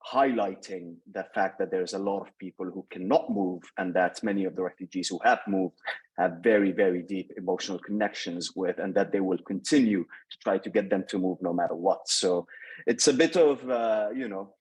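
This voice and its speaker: Deep husky man from Syria. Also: normal speed, darkish tone, poor audio. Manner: earnest, thoughtful, with hesitations. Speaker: panel respondent. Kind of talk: academic remarks on a panel. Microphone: video call.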